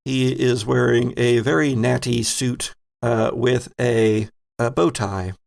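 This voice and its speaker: A man's voice: mid-range voice, fairly even intonation, takes it slowly, creaky, darkish tone. A man running a tabletop game, narrating and bantering in a playful, conversational way. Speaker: game master.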